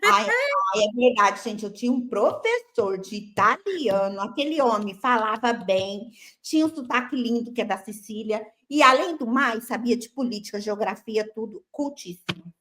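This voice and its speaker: Female co-host, high pitch, talks straight to the audience with playful exclamations. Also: lively intonation, moderate pace, a bit bright. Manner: chatty, playful, exclamatory, addresses viewers directly. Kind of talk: casual chat. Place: lives in Italy.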